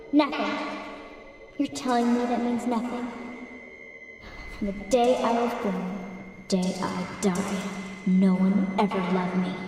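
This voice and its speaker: An actress playing the bitter, taunting ghost of a girl beheaded as a child, talking slowly with eerie laughs in a game cutscene. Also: fairly high pitch, darkish tone. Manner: slow, mocking, with eerie laughs. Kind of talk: video game cutscene acting. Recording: echoey reverberant hall with music.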